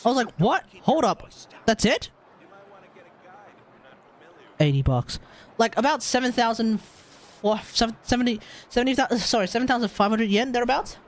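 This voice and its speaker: A man chatting casually and excitably on a gaming livestream, rambling and correcting himself as he goes. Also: very high voice, a little fast. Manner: animated, rambling, exclamatory, self-correcting. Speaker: live streamer.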